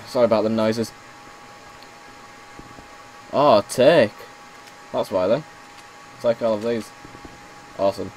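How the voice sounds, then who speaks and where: A man commentating casually on his own gameplay, slightly hoarse as he is losing his voice, muttering reactions as he plays. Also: mid-range voice, expressive, slow pace, pauses often, a little hiss or hum, poor audio. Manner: chatty, mutters reactions while playing. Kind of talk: casual let's-play commentary.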